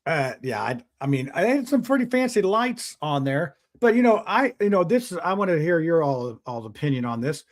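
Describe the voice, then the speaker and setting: A man's voice: a little high, big pitch swings, normal speed, hardly any pauses. A man hosting a casual panel talk show, rambling with many restarts and frequent chuckles. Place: outdoors in the sun.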